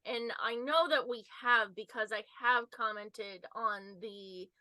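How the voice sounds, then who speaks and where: A woman's voice: a little high, slow, bright, forceful tone, no room sound, low-quality recording. A woman on a casual podcast panel, speaking hesitantly and searching for her words. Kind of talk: casual discussion.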